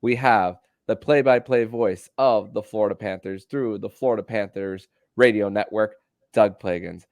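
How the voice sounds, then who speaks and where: A man's voice: lowish voice, normal speed, darkish tone, no room sound. A man hosting a sports podcast, talking with energy and often restarting his sentences. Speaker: podcast host.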